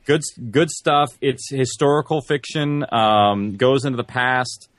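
A man talking casually as an interview guest about his production work, in a halting, self-correcting way. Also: mid-range voice, fairly bright tone, no echo. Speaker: guest, audio drama producer and director.

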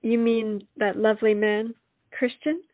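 A woman's voice: on the high side, fairly even intonation, a little slow, narrow, tinny audio. An actress playing a genteel, proper and coy young colonial lady in a stage comedy. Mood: coy, protesting.

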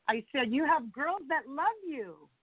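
A woman's voice: high voice, expressive, measured pace, no echo, narrow, tinny audio. A woman chatting as a guest on a call-in radio show, affectionate and chatty with callers. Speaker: guest, former wrestler. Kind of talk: casual radio chat.

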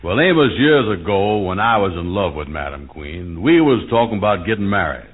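An actor playing a gullible, lovelorn man after money, with slow, folksy, ungrammatical talk, in old-time radio comedy style. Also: sits low, sing-song. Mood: confiding, eager. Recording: muffled 1940s-50s radio recording.

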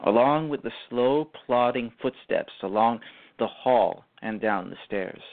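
Halting male narrator on phone-like audio. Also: mid-range voice. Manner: halting, repeats phrases. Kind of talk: scripted narration of a radio drama.

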